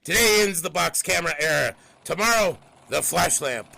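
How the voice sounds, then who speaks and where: An actor playing a brash, gadget-loving newspaper editor-in-chief with emphatic sales patter, in an old-time audio drama. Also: male voice, a little high, lively intonation, normal speed, creaky, pushed and bright, exaggerated voice, poor audio. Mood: excited, boastful.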